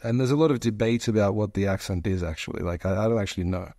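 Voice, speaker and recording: Aussie-accented guy in his 40s, lowish voice, dry sound, rambles and restarts a lot. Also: fast, mellow, dry recording. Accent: Australian. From Australia. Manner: hesitant, many restarts, long-winded. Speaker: guest, investor. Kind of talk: casual interview chat.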